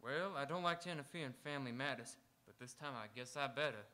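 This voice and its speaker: An actor playing a steady, watchful marshal in a live radio Western, folksy but with authority. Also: male voice, mid-range voice, fast talker, close to an everyday voice. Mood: firm. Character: steady, watchful frontier marshal keeping the peace. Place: auditorium stage, live radio theatre performance.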